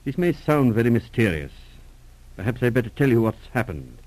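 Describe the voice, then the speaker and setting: An actor playing a grave, formal English lord and cabinet minister near sixty in a 1940s radio drama, measured and a little hesitant. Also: male voice, mid-range voice, sing-song, darkish tone. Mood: grave, concerned. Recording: old radio drama recording.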